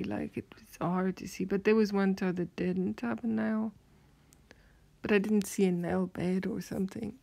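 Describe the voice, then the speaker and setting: A woman with a South African accent rambling softly through a strange dream, often half-whispering and hesitating with many fillers. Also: medium pitch, creaky. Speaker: vlogger.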